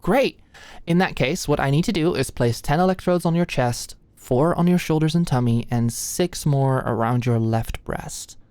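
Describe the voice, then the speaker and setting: Casting: actress as sweet, easily flustered young female medical student for intimate ASMR roleplay; low register, halting delivery, very dry close-miked sound. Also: warm tone, smooth, dry recording, lightly acted. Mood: earnest, explaining. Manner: nervous, hesitant, stammering.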